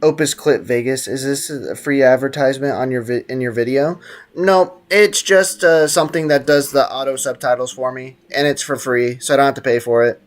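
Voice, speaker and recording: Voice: mid-range voice, brisk. A game streamer chatting casually on a livestream in a teasing, slangy, mock-stern way. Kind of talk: casual livestream chat over gameplay. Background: faint game music.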